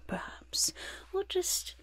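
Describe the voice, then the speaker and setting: A woman playing a sly, fussy goblin master of disguise in a hushed, breathy ASMR roleplay, speaking deliberately and close to the microphone. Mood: sly, teasing. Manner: slow, deliberate, refers to self in third person. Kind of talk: ASMR roleplay, soft-spoken whisper.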